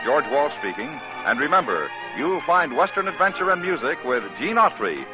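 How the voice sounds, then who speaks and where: A man's voice: on the high side, big pitch swings, normal speed. An American man announcing on 1950s radio, reading a script in a polished, emphatic way. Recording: dull vintage radio broadcast, faint music.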